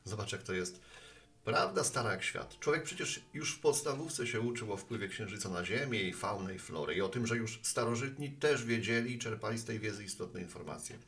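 A man's voice: on the low side, brisk, a bit bright. An actor playing a talkative, friendly postman with earnest opinions, chatting at a bar in an audio drama. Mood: earnest explaining. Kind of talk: Polish audio drama acting.

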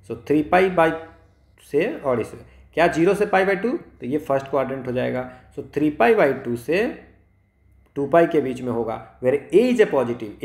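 A man's voice: a little high, darkish tone. A man with an Indian accent teaching maths step by step as a tutor, instructive and often checking in with short questions. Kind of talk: explaining exam questions step by step, switching between Hindi and English. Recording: roomy, echoing sound.

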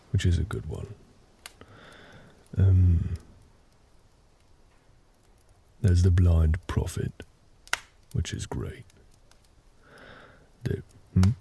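A man playing a gentle, big orc husband in a comfort roleplay audio, telling a story in a hesitant, reassuring, gravelly way. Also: a little hiss or hum, heavily acted. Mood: reassuring, thoughtful. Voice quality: very deep, gravelly. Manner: slow, hesitant, reassuring, conversational.

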